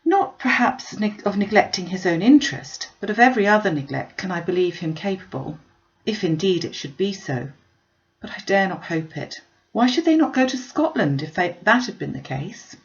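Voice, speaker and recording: An earnest, sharp-minded young gentlewoman from a storybook classic voiced by a woman reader. She argues emphatically with lively rises and falls. The recording is slightly muffled and lo-fi. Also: mid-range voice, normal speed, close to an everyday voice. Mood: doubtful, insistent.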